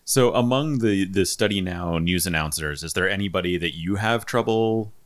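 A man's voice: sits low, normal speed. A man hosting a casual podcast, chatting easily and reading out listener topics and news items. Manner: relaxed, conversational, reads out topics. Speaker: podcast host.

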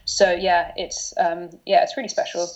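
Gravelly thirties woman from London. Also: on the low side, flat delivery, moderate pace, lo-fi sound. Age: 30s. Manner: chatty, many fillers and restarts. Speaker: guest. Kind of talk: casual interview chat.

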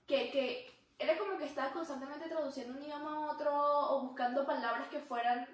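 High-voiced woman podcast co-host, rambling and easygoing, recorded off-mic in a roomy space. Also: fairly even intonation, a little fast, recorded from a distance. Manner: laughs easily, talks in long rambling runs with restarts. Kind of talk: casual chat. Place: room where the podcast is filmed. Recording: very echoey room, mic set back.

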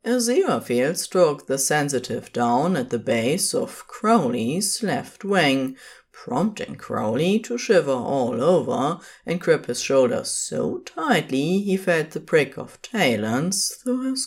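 A woman's voice: low voice, sing-song, slow, a little mellow, breathy edge. A woman reading scripted fiction as the narrator of an audio story, in a dramatic storytelling style.

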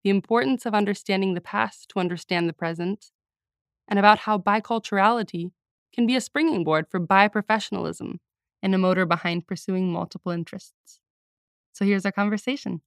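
A woman's voice: mid-range voice, fairly quick. A woman interview host speaking in a thoughtful, curious, conversational way. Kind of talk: conversational interview with scripted introduction.

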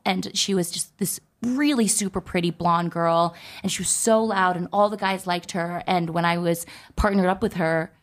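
Young woman just talking to the camera, kinda low voice, lots of likes and you knows. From United States. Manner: chatty, heavy use of "like" and "you know". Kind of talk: personal storytelling to viewers.

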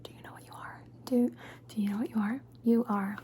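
A woman's voice: on the high side, fairly even intonation, brisk, mellow, a little hiss or hum. A woman performing an ASMR personal-attention roleplay, soothing and playful, repeating words and short phrases over and over.

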